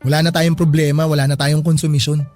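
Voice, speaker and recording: A devoted young Filipino son in a radio drama, acted by a man. His voice sits high for a man. He talks with earnest, respectful gratitude while light music plays behind him. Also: level tone, quick pace, a little mellow, close to an everyday voice. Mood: resigned relief. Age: young adult.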